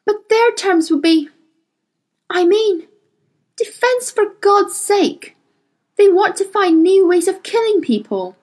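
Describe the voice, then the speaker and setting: A woman's voice: very high-pitched, lively intonation, slow pace, long pauses, darkish tone, voice pushed up, full character voice. A woman voicing an indignant, principled woman scientist who argues heatedly.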